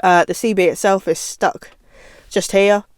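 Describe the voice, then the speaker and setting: A woman's voice: medium pitch, fairly even intonation, measured pace, smooth, dry recording. British woman presenting casually, dry close sound. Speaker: vlog presenter. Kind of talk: casual how-to chat. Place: inside a car.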